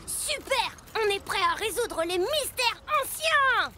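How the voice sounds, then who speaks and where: A performer voicing an eager, curious little child truck in a children's cartoon, excitable and childlike. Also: very high voice, slow, very noisy, exaggerated voice. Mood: excited.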